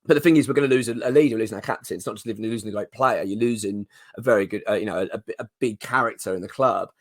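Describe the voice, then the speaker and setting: A man with a hoarse voice from a cold, hosting a fan channel in casual, rambling commentary full of ums and restarts. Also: fairly low pitch, very fast, no room sound, studio quality. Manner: chatty, rambling, excitable with many ums and restarts. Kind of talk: casual solo commentary to camera.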